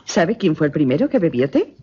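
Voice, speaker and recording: An actress playing a confident, witty and curious newspaper reporter in a radio drama, articulate and assured. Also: female voice, sits low, sing-song, a little fast, noisy background. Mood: chatty, informative. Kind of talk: Spanish-language radio drama acting. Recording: muffled, lo-fi radio drama recording.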